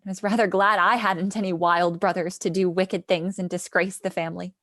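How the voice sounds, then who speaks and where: A woman's voice: lowish voice, level tone, fairly quick, a bit bright, no room sound, studio quality, close to an everyday voice. A woman playing an earnest, sympathetic young sister in a radio play rehearsal. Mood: sympathetic, troubled.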